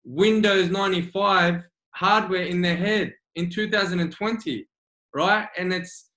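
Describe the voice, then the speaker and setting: Emphatic high-voiced Australian man, roomy sound. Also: fairly even intonation, a little slow, fairly bright tone. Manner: earnest, emphatic, repeats key phrases. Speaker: guest mindset coach. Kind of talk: remote interview. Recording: roomy echo.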